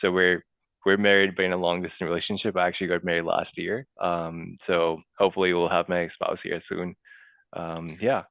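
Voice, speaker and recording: Man, deep smooth voice, chatty guest, thin phone-like call audio. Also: little pitch movement, a little fast, no room sound. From Pakistan. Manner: chatty, leans on "you know" and "right". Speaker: guest accountant. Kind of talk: podcast interview. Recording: tinny dry call audio.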